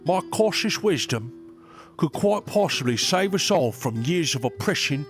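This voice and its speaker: An actor playing an aged, formal royal advisor in a fantasy audio drama, measured and earnest. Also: male voice, a little high, lively intonation, faint music behind. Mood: insistent. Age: older.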